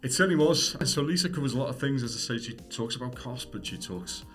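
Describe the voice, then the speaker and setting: A man co-hosting a property podcast, upbeat, chiming in quickly. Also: medium pitch, animated, fairly bright tone, faint music behind. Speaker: co-host. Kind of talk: podcast interview.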